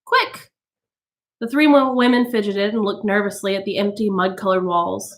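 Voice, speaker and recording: A woman reading a novel aloud as a storytime narrator, with expressive delivery. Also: mid-range voice, brisk, a little hiss or hum. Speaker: storytime reader.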